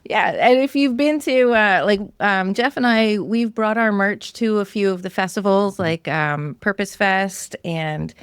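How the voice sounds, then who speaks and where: A woman's voice: mid-range voice, measured pace, hardly any pauses, clean tone, no echo, studio quality. A woman hosting a podcast, talking in a casual interview style. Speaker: podcast host.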